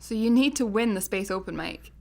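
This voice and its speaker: A woman's voice: medium pitch, lively intonation, a little fast, vocal fry, darkish tone, slight background noise. A woman playing a practical, matter-of-fact space fugitive in a sci-fi comedy radio play. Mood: brisk.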